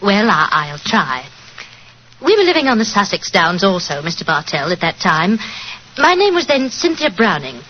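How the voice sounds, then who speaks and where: A woman's voice: medium pitch, big pitch swings, normal speed, close to an everyday voice. An actress playing a sweet, nervous English lady in a 1940s radio drama, polite, modest and a little fluttery. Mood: fond reminiscence. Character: demure, nervous, sweet English lady reminiscing about childhood. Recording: muffled, hissy 1940s radio recording.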